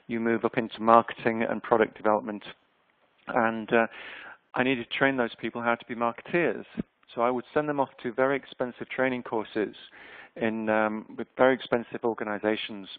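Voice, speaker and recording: A man's voice: medium pitch, no echo. A man in his fifties from Yorkshire, with a Yorkshire accent, giving an online presentation in a conversational way with frequent ums. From Yorkshire, England. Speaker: webinar presenter. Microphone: webinar microphone. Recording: dry, tinny phone-like webinar audio.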